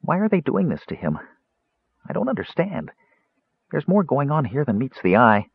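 An excitable, loyal little storybook mouse, acted out by a man, chatters and scolds himself. The voice lifts well above the reader's own and swings widely, with a husky edge and a thin, band-limited sound. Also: on the high side, moderate pace, dry recording, muffled recording, pitched above the performer's own voice, heavily acted. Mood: worried, determined. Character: excitable kender turned mouse, self-scolding, eager and loyal.

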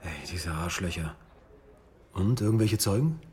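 A man's voice: low voice, animated, measured pace, a little mellow, slightly rough, full character voice. A man playing a flippant, streetwise police detective in a radio drama, with a casual, teasing, colloquial manner. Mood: sardonic, probing.

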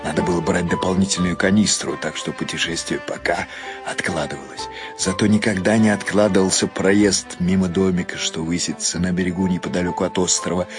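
A man narrating literary prose expressively and without hurry, with many pauses and shifting emphasis. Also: low voice, steady tone, music in the background. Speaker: narrator reading prose for a radio audio play.

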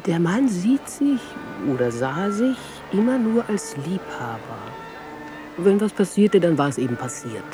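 A woman's voice: lowish voice, sing-song, unhurried, music in the background. An actress playing a down-to-earth woman who talks drily and bluntly in a radio play conversation. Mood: dry, teasing. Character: down-to-earth woman drily puncturing lofty talk.

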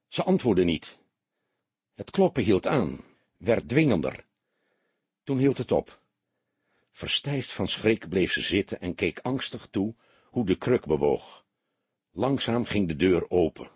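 A very husky, rough-edged male narrator reads crime fiction. His pitch moves in a lively way as he builds suspense with measured, expressive phrasing. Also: dry recording. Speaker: audiobook narrator. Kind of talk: dramatic scripted crime story narration.

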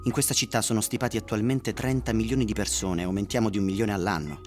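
An actor playing an envious, excitable male office worker in an Italian radio drama, animated and insistent. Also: lowish voice, quick pace, soft background music, close to an everyday voice.

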